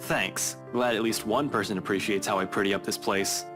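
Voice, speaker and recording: A man's voice: lowish voice, fairly even intonation, normal speed, music in the background, close to an everyday voice. An actor playing a dry, teasing, slightly awkward young man in a visual novel. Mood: dry sarcasm. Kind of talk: visual novel game voice acting.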